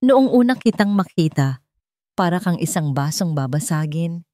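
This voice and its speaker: A woman's voice: fairly low pitch, expressive, moderate pace, warm tone, no echo, close to an everyday voice. A wise, motherly old woman, as a woman narrator puts her on in an audio love story. She talks fondly and reassuringly, with a dry, close sound. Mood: fond pride.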